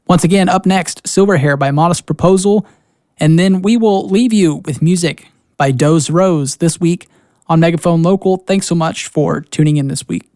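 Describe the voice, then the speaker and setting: A man's voice: on the high side, normal speed, darkish tone, no room sound, studio quality. A man hosting a radio show, announcing in a scripted style. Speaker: radio host.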